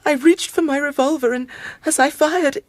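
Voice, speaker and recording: An actress playing a shaken but composed young gentlewoman in a radio drama, careful and well-mannered. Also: sits high, moderate pace, no room sound, close to an everyday voice. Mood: frightened.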